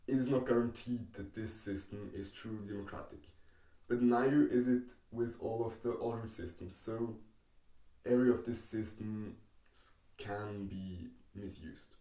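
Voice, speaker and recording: A young Swiss man, whose first language is not English, talks through an explainer in a low, mellow voice. He speaks slowly, halting on frequent ums and pauses. The recording is roomy and echoey, with the mic set some distance away. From Switzerland. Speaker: educational YouTuber. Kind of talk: explainer narration over diagrams.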